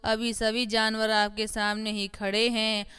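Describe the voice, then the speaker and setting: A woman's voice: a little high, completely flat delivery, a little slow, a bit bright, clean tone, no echo. A woman voicing a respectful, pleading goat in a cartoon moral story. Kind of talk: animated moral story acting.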